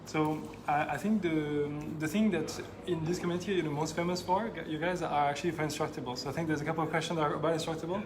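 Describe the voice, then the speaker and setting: A man's voice: on the high side, steady tone, fast, few pauses. A man hosting a panel talk at a maker space event, speaking casually with many fillers. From France and Japan. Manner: hesitant, many fillers, friendly. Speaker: event host. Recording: noisy room ambience.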